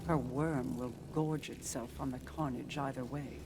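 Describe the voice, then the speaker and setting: A woman playing a grave, commanding alien leader in a video game, solemn, deliberate and formal. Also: a bass voice, steady tone, noisy background. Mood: ominous.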